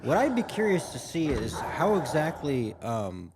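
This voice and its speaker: A man's voice: a little high, big pitch swings, a little slow, noisy background. A man giving casual, rambling, informal reaction commentary as he watches game cutscenes. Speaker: reactor, gaming channel host. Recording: loud game soundtrack behind. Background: game cutscene music and dialogue.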